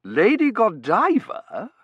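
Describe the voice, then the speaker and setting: Man playing a high, wavering society matron. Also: wildly swooping pitch, breathy edge, no echo, dull audio, exaggerated voice. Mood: vague. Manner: vague, wavering, drawn-out. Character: faint, bewildered, imperious middle-aged society mother.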